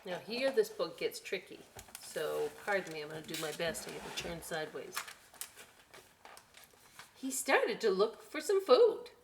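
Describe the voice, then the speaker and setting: A woman's voice: on the low side, very animated, a little slow, creaky, pauses often, noisy background. A middle-aged woman reading picture books to young children at a library storytime, playful and conversational, with chatty asides. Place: inside a library.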